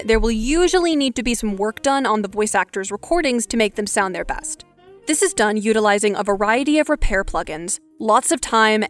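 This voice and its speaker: A woman hosts a podcast and walks through a scripted explainer, direct and brisk. Her voice sits fairly high, and faint music plays under her. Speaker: podcast host.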